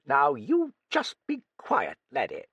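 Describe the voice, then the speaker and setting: Guy putting on a cheeky old woman's voice, way up high and swooping, gravelly, blustery swearing. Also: huge pitch swings, slow, fairly bright tone, dry recording, lo-fi sound, full character voice. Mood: bluff, gossipy. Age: older. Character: gruff old Australian-born woman, blunt and cheeky.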